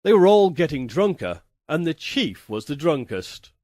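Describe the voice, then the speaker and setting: A man voicing a wry, erudite castaway who narrates his bizarre misadventures in a theatrical, deliberate, ironic audiobook style. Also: a little high, very animated, darkish tone, no echo, clean studio sound. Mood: puzzled, wry. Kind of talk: dramatic first-person audiobook narration of a pulp tale.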